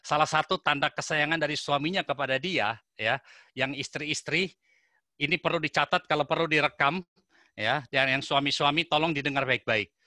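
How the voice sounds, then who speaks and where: Man, webinar host, very bright voice, teasing, dry close sound. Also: a little high, moderate pace, no room sound. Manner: warm teasing, friendly asides to the audience. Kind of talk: introducing a guest.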